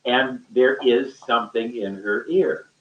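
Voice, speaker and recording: A man telling a rambling, conversational story in a halting, emphatic way, often repeating his phrases. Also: medium pitch. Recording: roomy echo, distant mic, muffled sound.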